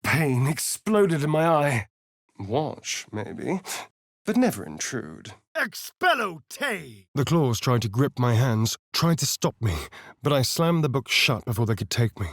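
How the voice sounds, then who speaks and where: An actor playing a sardonic, vain vampire spawn rogue in a video game, with a theatrical, drawling British delivery. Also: male voice, mid-range voice, big pitch swings, measured pace, dry recording, clean studio sound. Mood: arch, amused, then intense incantation. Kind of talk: video game character voice lines.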